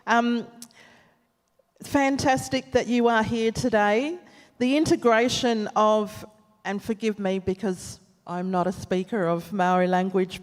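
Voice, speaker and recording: A woman's voice: mid-range voice, darkish tone. A woman moderating a live panel, thanking speakers graciously and posing questions. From Australia. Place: large hall at a live event. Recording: reverberant hall.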